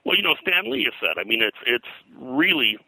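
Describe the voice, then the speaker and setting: An older man speaking as an interview guest, reminiscing in a halting, digressive way and often clearing his throat. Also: mid-range voice, sing-song, sharp tone, dry recording, low-quality recording. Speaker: guest, pulp and comics historian. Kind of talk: interview conversation, reminiscing about his work.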